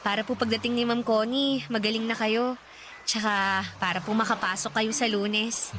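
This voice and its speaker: Woman acting high-voiced, fussing young housemaid. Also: steady tone, moderate pace, fairly bright tone, slight background noise, close to an everyday voice. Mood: shy, caring. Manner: polite, hesitant, fussing. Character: eager, caring, respectful eighteen-year-old housemaid. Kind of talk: Tagalog radio drama acting.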